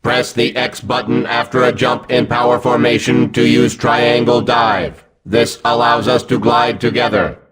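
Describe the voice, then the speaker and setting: A man's voice: medium pitch, little pitch movement, measured pace, few pauses, fairly bright tone, soft background music. A man playing a terse, emotionless combat robot in a video game, with clipped mechanical delivery. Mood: flat, matter-of-fact. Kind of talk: video game tutorial voice lines.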